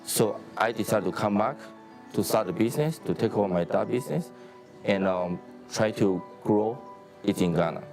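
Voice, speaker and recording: A man in his forties from Taiwan, a hotel and restaurant owner, speaking in a measured interview outdoors on a lapel mic. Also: on the low side, lively intonation, darkish tone, soft background music. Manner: plain, measured business talk with pauses.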